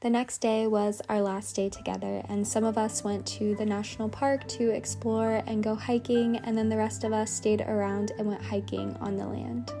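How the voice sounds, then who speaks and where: A woman in her twenties narrating her trip in a soft, even, heartfelt voice-over. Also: medium pitch, moderate pace, few pauses. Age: 20s. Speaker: vlogger and trip host. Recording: soft music behind.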